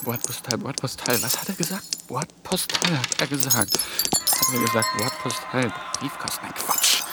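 An actor playing a frantic, self-reproaching young intern in a radio drama, talking to himself in agitated fragments. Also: male voice, medium pitch, lively intonation, normal speed, very noisy, lightly acted. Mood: shocked panic. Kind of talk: radio drama acting, agitated inner monologue. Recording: radio drama with loud music behind.

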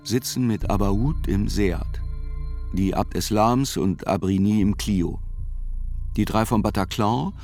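A man narrating a documentary radio drama as a sober chronicler, in a measured, precise and reflective way. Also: on the low side, a little mellow. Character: male chronicler soberly reconstructing terror attacks. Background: faint music.

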